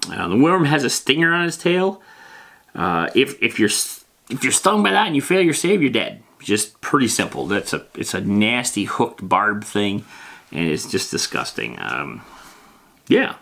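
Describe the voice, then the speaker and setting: A man's voice: lowish voice, sing-song, unhurried, creaky, bright, forceful tone. A man hosting a casual, rambling explainer on tabletop game monsters, reading out rules and tossing in informal, emphatic asides.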